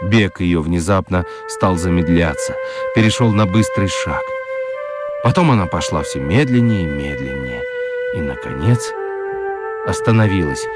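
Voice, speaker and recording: A man narrating a children's radio play as a dramatic storyteller, with expressive, deliberate literary phrasing. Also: sits low, over loud music. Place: dry studio.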